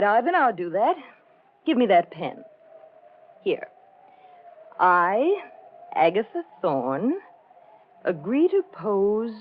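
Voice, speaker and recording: Scornful, swooping cold-hearted wife. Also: female voice, mid-range voice, exaggerated voice. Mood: coolly calculating. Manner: haughty, cutting, emphatic. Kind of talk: 1940s radio melodrama acting. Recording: dull, faintly noisy old radio recording.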